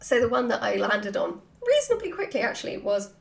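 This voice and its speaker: Woman, higher-pitched, animated, playful, casual chatty Q&A delivery, roomy echoey recording. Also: brisk, creaky, slight background noise. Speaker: writer hosting a Q&A. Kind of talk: casual, chatty question-and-answer talk to followers.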